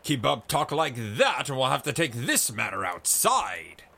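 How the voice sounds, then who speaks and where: A man's voice: a little high, wildly swooping pitch, unhurried, vocal fry, sharp tone, slightly rough, full character voice. Man putting on a blustering, roaring adventurer colonel. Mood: boisterous defiance. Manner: roaring, boisterous, indignant. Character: blustering, fiery, hearty male explorer colonel.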